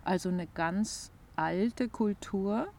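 A woman's voice: mid-range voice, slow, vocal fry, a little mellow, slight background noise. A woman interviewer who listens closely, says "mhm" often, and restates what her guest says in her own words. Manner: attentive, backchannels often, rephrases and sums up ideas. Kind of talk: casual interview chat. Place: outdoors in the grass by a lake.

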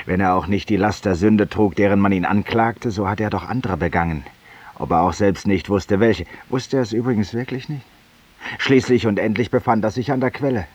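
A man's voice: lowish voice, breathy edge. An actor playing a world-weary, eloquent, guilt-ridden man who confesses intimately to an unseen companion in a 1950s radio drama monologue. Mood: probing, ironic. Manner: intimate, rhetorical, addressing an unseen companion, sometimes dropping to a whisper. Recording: muffled, distant 1950s radio recording.

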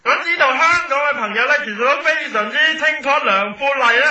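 Man as piercingly bright radio reporter, echoey. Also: extremely high pitch, fast talker, lo-fi sound, exaggerated voice. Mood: eager. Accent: Hong Kong Cantonese. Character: excitable male celebrity reporter, polite but easily exasperated. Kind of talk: parody radio interview. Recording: echoey, distant from the mic.

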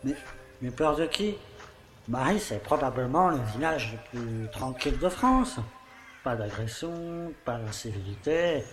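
A man's voice: mid-range voice, lively intonation, measured pace, close to an everyday voice. A man playing a self-satisfied older village mayor in an audio drama, with a pompous, paternal way of talking. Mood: condescending reassurance. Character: smug, falsely kindly older village mayor. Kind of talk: theatrical monologue in an audio play. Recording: echoey room, faint background noise.

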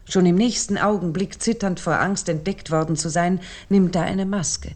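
Female essay narrator, lower register, very soft dark tone, measured and analytical delivery. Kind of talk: scripted literary lecture narration.

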